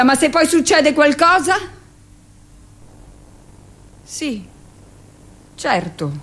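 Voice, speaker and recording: An actress playing a callous, self-justifying middle-aged midwife in a radio monologue, talking in a chatty, matter-of-fact, scornful way. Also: female voice, fairly high pitch. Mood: defensive.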